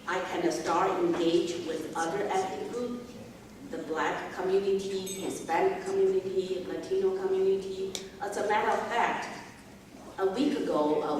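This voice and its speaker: Middle-aged woman, conference speaker. Earnest, repeats phrases for emphasis. Low-set voice, recorded distant in a reverberant hall. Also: darkish tone, a little hiss or hum. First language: Chinese. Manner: earnest, builds to rhetorical questions with repeated phrases. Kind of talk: public speech. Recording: echoey hall from far off.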